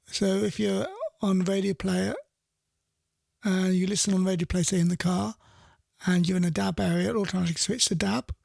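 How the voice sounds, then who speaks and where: Middle-aged man, casual radio host chat, creaky voice, rambling and repetitive, dry close sound. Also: high-pitched, level tone, normal speed, a little mellow, dry recording.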